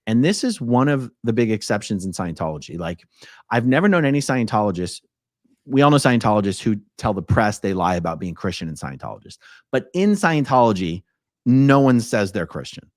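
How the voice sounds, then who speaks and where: A man's voice: lowish voice, big pitch swings, a little fast, no echo, studio quality. A man hosting a live stream, reading viewer messages and commenting in a casual, blunt, conversational way.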